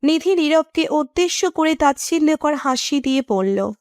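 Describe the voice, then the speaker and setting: A woman's voice: high-pitched, animated, normal speed, no echo, studio quality. A woman narrator reading a scripted romantic story.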